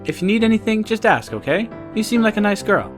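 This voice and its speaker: A woman voicing a friendly, easygoing teenage schoolboy in an audiobook, with a casual, gentle, cheerful manner. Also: mid-range voice, very animated, fast, soft background music, close to an everyday voice. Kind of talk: acted audiobook dialogue.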